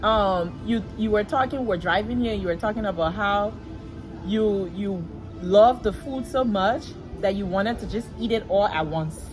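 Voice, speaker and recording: A woman hosting a casual interview, prompting her guest gently. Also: medium pitch, moderate pace, poor audio. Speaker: host. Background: music.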